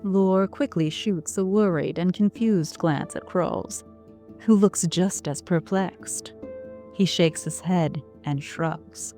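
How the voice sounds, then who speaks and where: Lady narrator for an audio drama, low voice, slow measured reading, bit of music behind. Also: dark tone.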